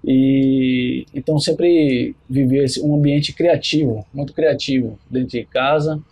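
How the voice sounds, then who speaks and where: A man's voice: medium pitch, steady tone, a little slow, a little mellow. A man with a Bahian Brazilian accent, speaking conversationally as a podcast guest about his own experience. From Salvador, Bahia, Brazil. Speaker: podcast guest, biology professor and researcher. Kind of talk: conversational account of own career.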